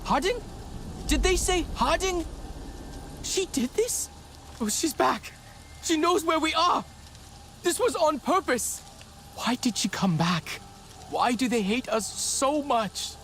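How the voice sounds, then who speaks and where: A man's voice: very high voice, lively intonation, slow, pauses often, fairly bright tone, a little hiss or hum, full character voice. An actor voicing an anxious young male pilot and engineer in a video game. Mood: distraught.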